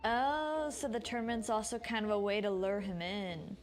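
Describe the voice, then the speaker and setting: A woman's voice: mid-range voice, steady tone, moderate pace. A woman streaming a video game, reading its text aloud and commenting casually. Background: game music.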